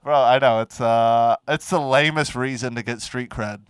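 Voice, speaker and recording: Nasal guy in his 20s, podcast co-host, smooth clear voice, dead dry room. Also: medium pitch, dry recording. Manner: animated storytelling, quick to laugh. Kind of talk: casual comedy podcast chat.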